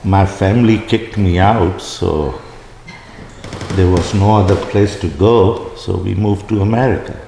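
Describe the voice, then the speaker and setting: A man's voice: sits low, unhurried, creaky, mellow. A man, giving brief, casual answers as an interviewee. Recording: echoey room with faint noise.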